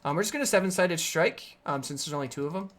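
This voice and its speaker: Raspy tired-voiced streamer talking through a match at the desk, upbeat, faint keyboard clicks behind. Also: mid-range voice, quick pace, a little hiss or hum. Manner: upbeat, explains plays, with sudden excited outbursts. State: raspy, sore voice from energy drinks. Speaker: game streamer. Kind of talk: live gameplay commentary.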